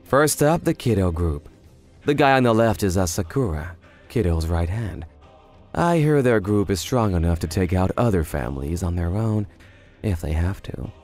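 A man voicing a cool, shrewd underworld informant in a manga dub, speaking in a measured, businesslike way. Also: low-pitched, expressive, darkish tone, soft background music. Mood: grave, informative. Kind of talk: animated manga dub dialogue.

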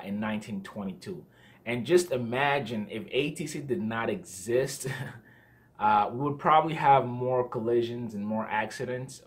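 A young man speaks as a host with a mid-range voice. He explains things in a casual, conversational way, talking straight to the camera in a small room at home. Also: medium pitch. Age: young adult. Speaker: host, pilot.

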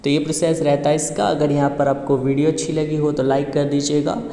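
Flat-toned man in an echoey room. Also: on the high side, a little fast. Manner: explanatory, repeats each step before the next. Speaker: tech tutorial host. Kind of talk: casual step-by-step screen tutorial.

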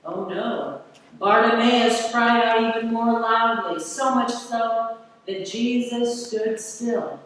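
A middle-aged woman preacher giving a slow, deliberate sermon from a pulpit, asking rhetorical questions, recorded from the back of a large church. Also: on the high side, fairly bright tone. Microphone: far camera at the back of the church. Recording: echoey church hall from far off.

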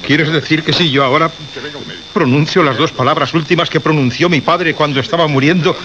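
A man acting an astonished, excitable studio colleague in a radio drama. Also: a little high, brisk, noisy background, close to an everyday voice. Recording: dull old radio recording, crowded background.

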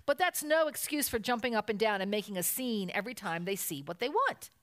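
A woman's voice: on the high side, expressive, fairly quick, a bit bright. A woman voicing a firm, sensible mother bear from a children's storybook, reasoning and scolding. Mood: disapproving.

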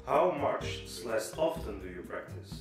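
A man's voice: on the low side, very animated, measured pace. A man, casually asking questions from behind the camera. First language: Dutch. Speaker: off-camera interviewer. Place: living room, behind the camera. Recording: echoey room, prominent music. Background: music.

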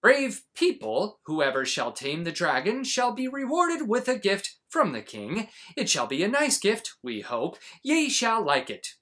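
A man's voice: high voice, huge pitch swings, unhurried, few pauses, bright, forceful tone, full character voice. Man putting on a pompous, declamatory storybook king. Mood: proclaiming. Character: stern pompous storybook king making royal proclamations.

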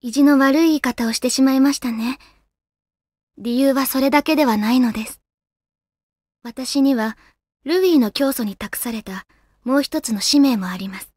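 A solemn, gentle guardian spirit of the land, played by a woman in a video game. Her voice sits high and she speaks with formal, composed courtesy. The recording is close and dry, with no room around it. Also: no echo, close to an everyday voice. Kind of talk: Japanese video game voice acting.

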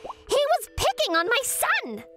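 Furious anime mom, shrill and high, accusing, swoops around. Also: female voice, huge pitch swings, a little slow, loud music behind the voice, heavily acted. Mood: outraged. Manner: accusing, exclamatory. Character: furious, shrill, overprotective mother of a schoolboy. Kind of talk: manga dub voice acting.

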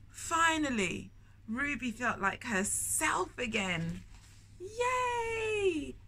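A woman in her thirties reads a children's story aloud in a slightly high voice. She leans on key words expressively and often turns to ask her young listeners questions. The recording is made indoors in a bookshop, with faint noise behind her. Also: vocal fry, pushed and bright, rough recording. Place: indoors in a bookshop, in front of shelves.

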